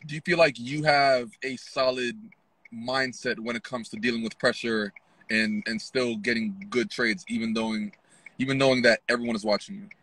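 A man in his thirties talks into his phone from a parked car at night, running a casual interview. His voice is somewhat husky, and his manner is relaxed and loose, full of "you know" and "like". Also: medium pitch, normal speed, no room sound, low-quality recording. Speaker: interviewer, trading channel host. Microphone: phone held close.

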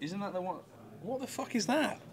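A man's voice: high-pitched, a little fast. A young man interviewing with a handheld mic, teasing his guest in a dry, mocking roast style. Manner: deadpan, mocking. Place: esports event backdrop, indoors.

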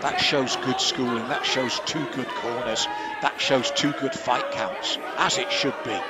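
A man's voice: mid-range voice, fairly even intonation, a little slow. A man giving live ringside commentary on a kickboxing bout, analytical and offering his own view of the scoring. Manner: analytical, gives personal opinions on the scoring. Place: indoor kickboxing venue with live audience. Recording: loud crowd and venue noise behind.

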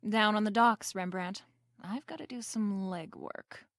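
A woman's voice: medium pitch, measured pace, a bit bright, dry recording, studio quality. A woman playing a brash, wisecracking female private detective in a noir radio drama, wry and slangy. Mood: brisk, scheming. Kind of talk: noir radio drama acting and first-person narration.

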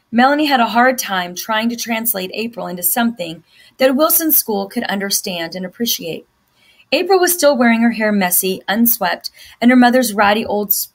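A middle-aged woman reading a children's novel aloud as a teacher does for students. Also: fairly high pitch. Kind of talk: scripted narration, children's novel read-aloud.

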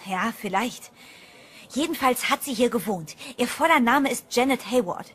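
A woman's voice: fairly high pitch, animated, moderate pace, fairly bright tone, slight background noise. An actress playing a determined, wily female sleuth in an audio horror drama. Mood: determined, secretive. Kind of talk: audio drama acting with first-person narration.

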